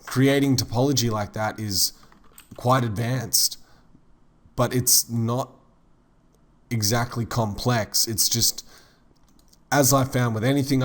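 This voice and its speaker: A man teaching 3D modeling in a relaxed, rambling tutorial voice-over, slightly hoarse as he loses his voice. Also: mid-range voice. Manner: relaxed, rambling, many fillers like "kind of". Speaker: 3D modeling instructor. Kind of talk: casual tutorial narration.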